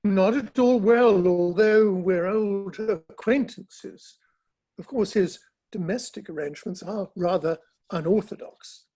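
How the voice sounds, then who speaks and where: A gossipy, bemused Victorian literary gentleman performed by a man with a notably high, rough-edged voice. He speaks in clipped, droll phrases, as in a staged reading of a period play. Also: takes it slowly, rough recording. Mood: confiding. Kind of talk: dramatic play reading over the internet.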